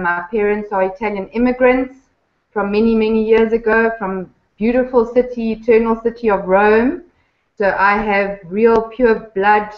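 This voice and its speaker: A woman speaks at a middling pitch, warm and eager, taking her time with thoughtful pauses. Her voice comes through a remote call that sounds roomy and dull, like a lo-fi line. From Zimbabwe. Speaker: guest. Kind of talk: casual interview chat.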